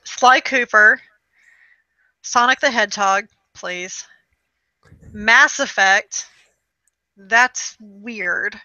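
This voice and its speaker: A woman's voice: mid-range voice, very slow, pauses often, sharp tone, no room sound, lo-fi sound. A woman co-hosting a video game show in casual chat, running through a list with wry, dismissive asides.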